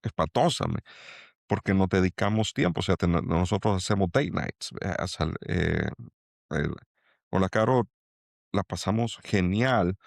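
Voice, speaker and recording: A middle-aged man from El Salvador with a Salvadoran accent and a low, gravelly voice. He talks in a halting, reflective way, with lots of fillers and restarts. The sound is dry and close. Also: animated, dry recording. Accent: Salvadoran Spanish. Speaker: angel investor guest. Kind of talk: reflective interview talk.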